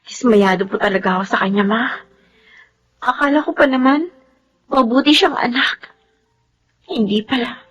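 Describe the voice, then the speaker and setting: A woman's voice: fairly high pitch, normal speed, pauses often, fairly bright tone, slightly rough, poor audio. A woman playing an indignant, dismayed young daughter in a radio drama.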